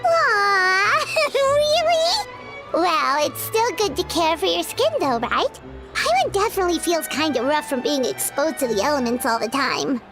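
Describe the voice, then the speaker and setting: A woman's voice: very high voice, sing-song, measured pace, over music, full character voice. A woman voicing a tiny, childlike fairy companion in a game, cheerful, eager and gushing. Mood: pleading. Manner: talks about self in third person, gushing. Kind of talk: animated game dialogue.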